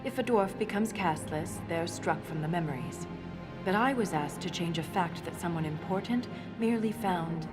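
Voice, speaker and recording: Actress as female dwarf scholar, lowish voice, dry, precise, principled, video game cutscene, clear music underneath. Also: normal speed, natural voice. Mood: principled, measured.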